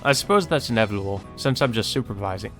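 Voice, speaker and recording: A man's voice: on the low side, animated, fast talker, fairly bright tone, soft background music. A man hosting a let's play, reading a visual novel aloud and adding casual asides.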